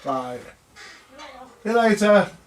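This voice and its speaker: A man's voice: high-pitched, expressive, takes it slowly, noisy background. A man chatting casually and colloquially, rambling along as he hosts a repair video. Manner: colloquial, chatty, tag questions. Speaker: vintage radio repairer, video host. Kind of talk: casual rambling vlog chat.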